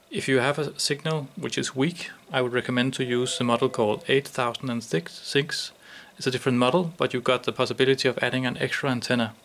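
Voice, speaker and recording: Gravelly man demonstrating a product. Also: medium pitch, fairly bright tone, slight background noise.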